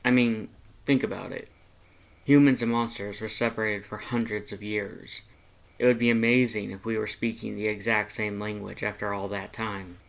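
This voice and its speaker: A man voicing a laid-back, gentle skeleton monster with a casual, understated way of talking, in a storybook reading. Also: on the low side, little pitch movement, normal speed, gravelly. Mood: amused, explaining.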